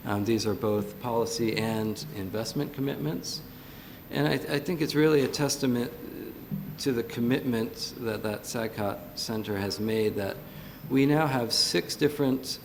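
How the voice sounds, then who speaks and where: A man giving earnest panel remarks at a conference, with fillers and restarts. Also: normal speed. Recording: gravelly voice in a reverberant hall, faint rumble.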